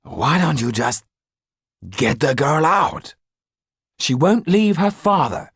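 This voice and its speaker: A man's voice: on the high side, big pitch swings, slow pace, breathy edge, no room sound, clean studio sound, pitched above the performer's own voice, full character voice. A man voicing an earnest, defiant young idealist in a storybook, speaking urgently and directly. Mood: insistent.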